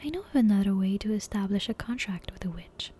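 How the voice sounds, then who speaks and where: A woman voicing a learned, fearless young lady astrologist in a breathy whisper for a bedtime story. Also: medium pitch, animated, quick pace. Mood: knowing. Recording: soft background music and ambience.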